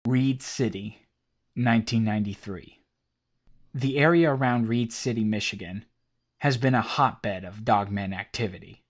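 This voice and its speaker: A man narrating a horror story in a steady, scripted, documentary-like way. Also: fairly low pitch, measured pace. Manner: steady documentary-style telling.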